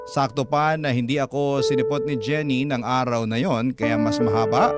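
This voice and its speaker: A man's voice: medium pitch, lightly acted. Heartfelt Filipino man narrating as a lovelorn woman, music behind. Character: emotional young woman confessing her love story in a letter. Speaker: radio host reading a listener's letter. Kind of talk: dramatic first-person radio storytelling. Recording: loud music bed under voice.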